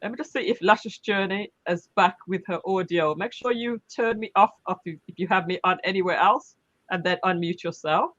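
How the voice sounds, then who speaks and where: A woman's voice: lowish voice, brisk, fairly bright tone, no room sound, dull audio. A woman hosting a live talk stream, bubbly and effusive as she welcomes guests. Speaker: live show host.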